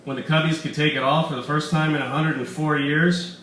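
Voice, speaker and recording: A man's voice: a little high, fairly even intonation, brisk, a bit bright, voice pushed up, lightly acted. A man voicing a big, tipsy, flippant action hero of forty in a story reading, protesting cockily, in an echoey room. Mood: bold protest. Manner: protesting, cocky. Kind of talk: live short-story reading, character dialogue. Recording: echoey room, distant mic, background noise.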